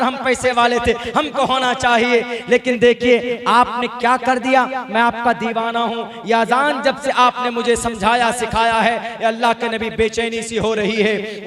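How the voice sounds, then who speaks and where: A man preaching an Islamic sermon, telling stories and exhorting listeners with emphatic repetition. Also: very high voice. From India.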